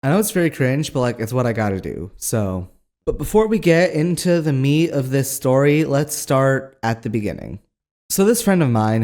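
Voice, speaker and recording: Young guy doing a storytime video, kinda scratchy voice, mid pitch, slangy and chatty, lots of asides. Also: darkish tone. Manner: conversational, slangy, frequent "like" asides. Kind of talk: casual storytelling to camera audience.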